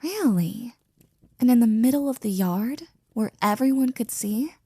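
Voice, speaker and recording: A woman playing a flirty, devoted maid in an intimate ASMR roleplay, breathy and often near a whisper, with a teasing, affectionate manner. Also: fairly high pitch, expressive, mellow, dry recording. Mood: curious, gossipy. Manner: teasing, affectionate, slow and drawn out.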